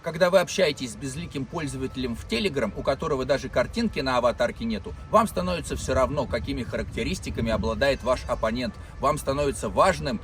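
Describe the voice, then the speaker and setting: A man hosting a weekly commentary segment, giving a measured, lecturing prepared monologue with rhetorical questions. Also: mid-range voice.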